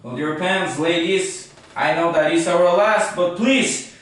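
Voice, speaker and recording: A man's voice: high voice, a little slow, a bit bright. A man playing a philosopher who hosts a late-night talk show in an amateur skit. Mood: welcoming. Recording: echoey room, distant mic, faint noise.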